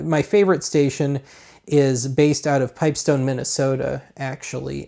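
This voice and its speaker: Gravelly American man, casual rambling reviewer. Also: medium pitch, darkish tone. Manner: rambling, self-deprecating, colloquial. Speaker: host of a gadget review show. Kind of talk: casual product walkthrough.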